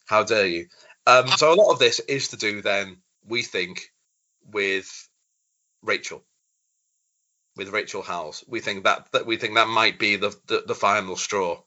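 Bright, excitable male podcast host. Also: lowish voice, a little slow, muffled recording. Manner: enthusiastic, stammering restarts, acts out quotes. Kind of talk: casual podcast chat.

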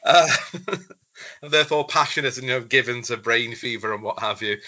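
A man's voice: medium pitch, normal speed, bright, forceful tone, lo-fi sound. A man hosting a podcast, enthusiastic, with stammering restarts. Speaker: podcast host. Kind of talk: casual podcast chat.

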